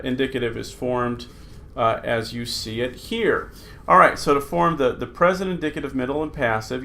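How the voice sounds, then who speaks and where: A man teaching a recorded New Testament Greek lesson, talking through slides in an unhurried way with many fillers. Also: medium pitch, creaky, a bit bright, slight background noise.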